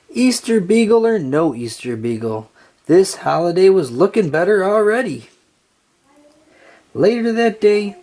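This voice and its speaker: A narrator reading a children's storybook aloud in a deliberate, expressive storytelling manner. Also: mid-range voice.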